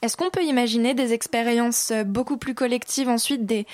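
A woman hosting a conversational radio interview, asking informal, halting questions. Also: a little high, steady tone, no echo, studio quality. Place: radio broadcast in a dry-sounding space.

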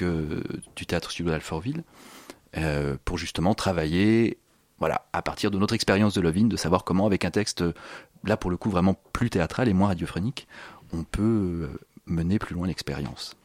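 Low-voiced guy being interviewed, hesitant, keeps rephrasing, super dry sound. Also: a little fast, no room sound. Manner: hesitant, reformulates often. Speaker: guest, audio fiction creator. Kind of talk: conversational interview answers.

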